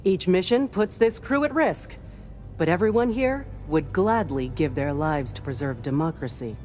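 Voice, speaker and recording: Earnest low-voiced patriotic starship officer. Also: female voice, expressive, moderate pace, a little mellow, soft background music. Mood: proud, devout. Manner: earnest, reverent, dutiful. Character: earnest, devoutly patriotic female starship crew chief. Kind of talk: scripted video game character lines.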